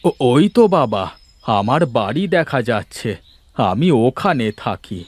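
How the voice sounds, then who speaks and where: Slow, frail old man. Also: mid-range voice, sing-song, no echo. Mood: friendly. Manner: slow, plaintive, halting. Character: frail, weary, pitiful old man of the forest.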